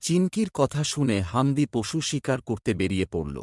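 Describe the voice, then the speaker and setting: A man's voice: mid-range voice, sing-song, moderate pace, no echo, clean studio sound. A man narrating a scripted children's ghost story. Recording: loud music behind the voice.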